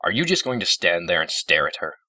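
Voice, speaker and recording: A man's voice: low-pitched, sing-song, a little fast, pushed and bright, dry recording, recorded from a distance, heavily acted. A man voicing a bitter, protective male chimera creature in a storybook reading, curt and spiteful.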